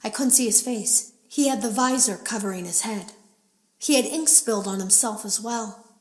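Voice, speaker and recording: A woman's voice: on the high side, moderate pace, darkish tone, natural voice. Echoey, uneasy actress as a female game tester. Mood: haunted. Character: uneasy female game tester confiding in secret audio logs. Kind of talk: scripted audio log monologue in a horror game.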